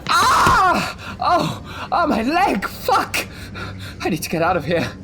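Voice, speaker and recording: A man's voice: very high voice, wildly swooping pitch, takes it slowly, sharp tone, slight background noise, full character voice. A man playing a flustered, stammering army officer in an audio drama, urgent and frightened. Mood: panicked. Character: panicky British army officer fleeing the undead, male.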